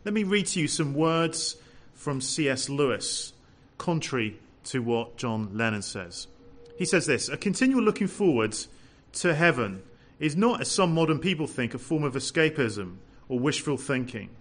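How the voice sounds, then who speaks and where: Man, preacher, expressive and loud, rises and falls a lot. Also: on the high side, normal speed, hardly any pauses. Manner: lively, animated preaching with rhetorical questions; says he has a loud voice. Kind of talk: sermon.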